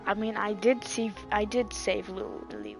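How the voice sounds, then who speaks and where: A woman's voice: medium pitch, sing-song, unhurried, creaky. A woman streamer giving casual gameplay commentary with playful, joking asides. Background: game music.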